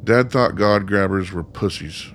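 A man's voice: low-pitched, unhurried, a little hiss or hum. A man acting in a radio play as a bitter, sarcastic, foul-mouthed son with a blunt manner. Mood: scornful. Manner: blunt, sardonic.

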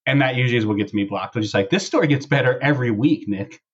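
A man's voice: medium pitch, fast, breathy edge, muffled recording. A man hosting a casual podcast discussion, talking through and reading out posts. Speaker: podcast host.

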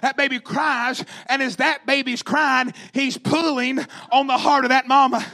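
Guy preaching a fiery revival sermon, really high voice, sharp and forceful, hammers phrases over and over. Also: level tone, measured pace. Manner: emphatic, repetitive, rhythmic exhortation; calls out to the congregation.

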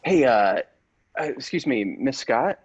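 A man improvising an easily offended, halting widow in a comedy skit. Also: mid-range voice, lively intonation, a little mellow, breathy edge, lo-fi sound, pitched above the performer's own voice. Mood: hesitant. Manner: halting, indignant. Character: easily offended widow seeking her husband's obituary.

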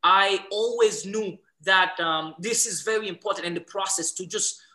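A young Haitian man with a Haitian accent, a guest telling his story in a casual interview, animated and full of restarts. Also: high-pitched, normal speed. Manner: animated storyteller, many restarts, growing louder as he goes. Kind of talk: casual interview, switching between English, Creole and French.

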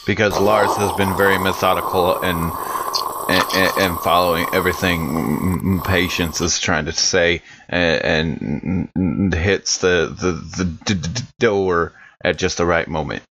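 A man playing a tabletop role-playing game, speaking carefully and haltingly, with stammered restarts as he explains his moves. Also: deep voice, fairly even intonation, fairly bright tone, a little hiss or hum, low-quality recording.

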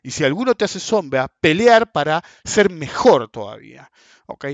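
A blunt, crude man in his forties with a Rioplatense Spanish accent, delivering a casual rant that swings up and down in pitch. He keeps asking "ok?" to drive his points home. The sound is dry and dull, like a lo-fi recording. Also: very animated, normal speed, no room sound. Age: 40s. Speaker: market commentator. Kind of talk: casual ranting monologue.

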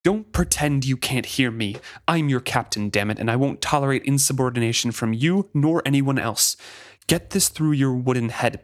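A man's voice: mid-range voice, close to an everyday voice. A man voicing a fierce, exasperated sea captain in a fantasy storybook, with commanding, emphatic delivery and swearing. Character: fierce exasperated ship captain berating his stubborn living ship. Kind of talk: dramatic reading from a fantasy novel.

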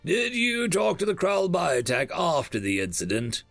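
Audiobook general, read by a man: stern, commanding investigator, animated range, slightly gravelly. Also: normal speed, no room sound, faint music behind, full character voice. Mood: measured, probing. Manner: authoritative, booming when angered. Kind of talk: audiobook character dialogue.

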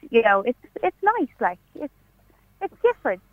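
A woman's voice: fairly high pitch, very animated, moderate pace, no echo, narrow, tinny audio. A woman chatting on a radio show, hesitant and full of "like". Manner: hesitant, lots of "like". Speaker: radio studio guest. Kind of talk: casual chat.

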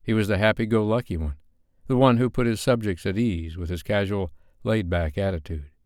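Deep, smooth male audiobook narrator. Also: fairly quick, a little mellow, no room sound, studio quality. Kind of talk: scripted fiction narration.

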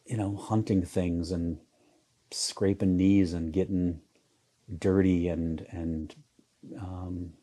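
A man in his forties with a low, dark and mellow voice. He talks in a flat, even way, halting and restarting with lots of fillers as he thinks aloud in an interview. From Iowa, US Midwest. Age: 40s. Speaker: photographer guest. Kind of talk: reflective interview conversation.

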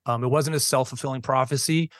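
A man's voice: mid-range voice, fairly even intonation, fast talker, fairly bright tone, dry recording. Middle-aged guy, teacher on a podcast, earnest, lots of ums and restarts, dry close sound. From Boston, USA. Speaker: public school teacher, podcast guest. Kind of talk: conversational storytelling interview.

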